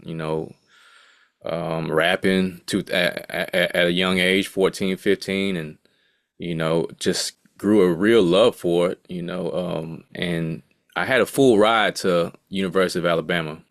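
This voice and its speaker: A man's voice: low voice, fairly even intonation, measured pace, no room sound, clean studio sound. A man in his late thirties, a music producer speaking as an interview guest in a laid-back, rambling way full of asides. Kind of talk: casual interview talk.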